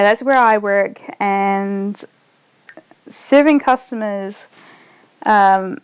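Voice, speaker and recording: A young woman with a New Zealand accent tinged slightly Australian, chatting loosely as a streamer while she plays, trailing off quietly as she concentrates. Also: mid-range voice, smooth. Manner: rambling, trails off softly when focused on the game. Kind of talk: casual gaming livestream chat.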